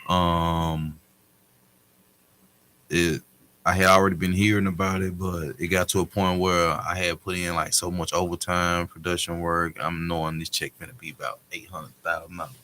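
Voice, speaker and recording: A man's voice: deep voice, flat delivery, normal speed, sharp tone, a little hiss or hum. A man telling personal stories in a casual panel talk, blunt, slangy and profane. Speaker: panel guest.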